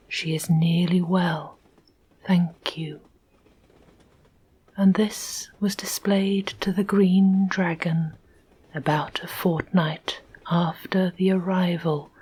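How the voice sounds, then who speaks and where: A woman narrating a classic children's book in a soft whisper, in an ASMR reading. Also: fairly low pitch, husky. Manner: soft, even, unhurried. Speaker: audiobook narrator.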